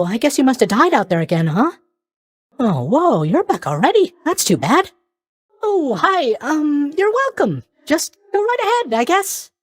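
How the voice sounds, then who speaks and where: A man's voice: very high voice, fairly bright tone, heavily acted. A man voicing a sleepy, scatterbrained young god of sleep in a video game, airy, hesitant and guilelessly blunt. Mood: sheepish, chirpy. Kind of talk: animated video game character voice lines.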